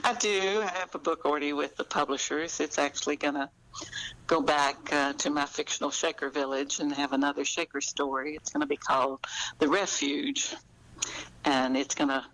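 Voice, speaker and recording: Older lady author chatting in an interview, gravelly creaky voice, halting and thoughtful. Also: low-pitched, steady tone, a bit bright, low-quality recording. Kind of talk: conversational interview.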